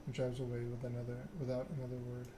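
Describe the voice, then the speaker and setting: A man narrating a story game aloud in a soft ASMR style. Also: mid-range voice, completely flat delivery, fast. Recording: clear background noise.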